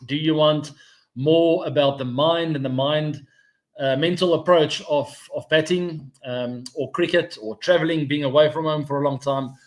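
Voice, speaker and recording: A man in his forties chats casually on a live stream about cricket. His voice sits a touch higher than most men's. He rambles in a friendly way and thinks out loud, staying upbeat throughout. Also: fairly even intonation, moderate pace. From South Africa. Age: 40s.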